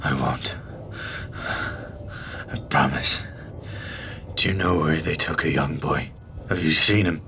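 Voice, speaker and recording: A man's voice: a bass voice, creaky, background noise, exaggerated voice. An actor playing a desperate, pleading father searching for his son in an audio drama. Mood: urgent, coaxing. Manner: pleading, urgent, halting.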